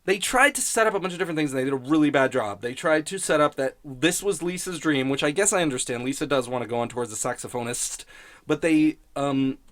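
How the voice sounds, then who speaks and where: A man's voice: fairly high pitch, fast, fairly bright tone, dry recording. A man giving a casual, rambling spoken review in a blunt, sweary, grumbling manner. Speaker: host of a TV criticism show.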